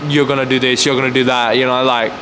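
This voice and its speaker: A man's voice: medium pitch, level tone, fast, background noise. A man in casual podcast chat with very slangy speech, full of fillers and mimicked remarks. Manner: slangy, says "hella", "like" and "bro", mimics critics.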